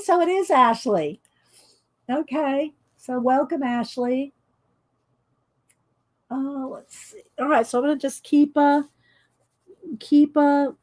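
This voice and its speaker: A middle-aged woman with a loud voice that carries, chatting casually and rambling with frequent laughs while she colors for her viewers. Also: high-pitched. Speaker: coloring channel host.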